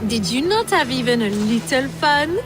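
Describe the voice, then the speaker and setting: A theatrical noble lady with a taste for danger for a video game. Her voice is high, refined and playful, with music clearly playing behind it. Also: sing-song, normal speed. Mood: thrilled, playful.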